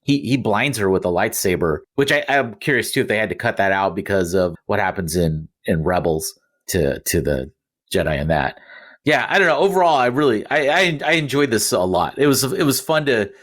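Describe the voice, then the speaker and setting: A man chatting casually and giving his opinions as a podcast panelist, with somewhat halting phrasing. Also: on the low side, expressive, a bit bright.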